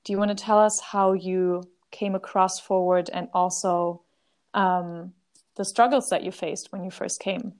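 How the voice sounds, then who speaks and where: A woman's voice: fairly low pitch, steady tone, unhurried, darkish tone. A woman hosting a podcast, introducing and questioning her guest in a conversational style. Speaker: podcast host.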